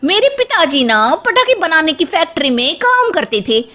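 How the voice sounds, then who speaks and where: A woman's voice: very high voice, very animated, normal speed, bright, forceful tone, heavily acted. A woman voicing a bold, street-smart housemaid in a comic story, cocky and slangy in Mumbai street Hindi. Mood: cocky, boastful. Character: brash, bold, money-minded female housemaid, street-smart ghost chaser.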